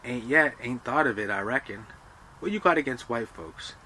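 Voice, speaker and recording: A man's voice: medium pitch, animated, moderate pace, bright, forceful tone, a little hiss or hum, rough recording. A man voicing a tired servant who grumbles in Southern dialect. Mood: grumbling.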